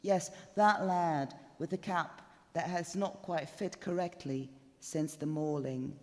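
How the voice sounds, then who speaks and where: Slow, higher-pitched man narrating in reverberant chapel. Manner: measured, deliberate, dramatic. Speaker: story narrator. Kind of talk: live literary ghost story reading. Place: indoors, stone chapel. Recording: reverberant chapel live recording.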